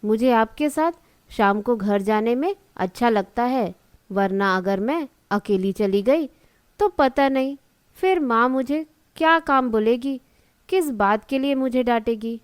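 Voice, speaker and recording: A woman voicing a dutiful, gentle college-age daughter in a cartoon story, speaking respectfully and reassuringly. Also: on the high side, expressive, unhurried, smooth, no room sound. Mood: earnest, reassuring. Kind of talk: Hindi cartoon story dialogue.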